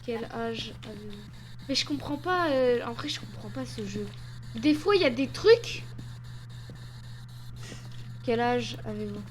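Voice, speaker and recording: A woman streamer with a fairly high voice talks slowly through a ghost-hunting game. She repeats the same question again and again with patience. Now and then she jumps into quick surprise. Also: lively intonation, low-quality recording. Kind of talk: gaming commentary, playing a ghost-hunting game with a friend.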